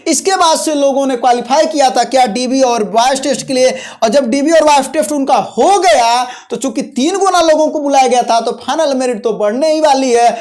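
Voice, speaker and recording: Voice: high-pitched, fairly quick, few pauses, darkish tone. A presenter hosting an informal explainer on exam and job details, speaking in an instructional way, repeating key points and often checking in with listeners. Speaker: host of a job-notification video channel.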